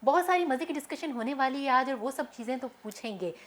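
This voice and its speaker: A woman's voice: sits high, lively intonation, quick pace, a bit bright. A woman with a Pakistani accent hosting a TV talk show, effusive and emphatic, often mixing in English.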